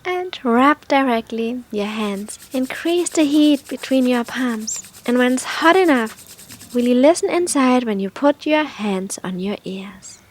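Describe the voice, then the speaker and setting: A woman leads a guided meditation in a high, soft voice. Her delivery is slow and unhurried, leaving long pauses between gentle instructions. The tone is airy and husky, often close to a whisper. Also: animated.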